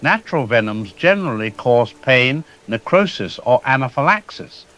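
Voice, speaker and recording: A man's voice: medium pitch, big pitch swings, moderate pace, fairly bright tone. An actor playing a troubled male scientist giving formal, clinical expert commentary. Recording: clear background noise.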